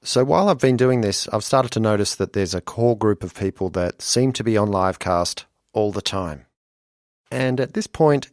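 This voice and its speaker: A man's voice: fairly low pitch, dry recording. Dry-voiced man narrating. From Western Australia. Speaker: podcast host. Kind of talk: narrated podcast documentary.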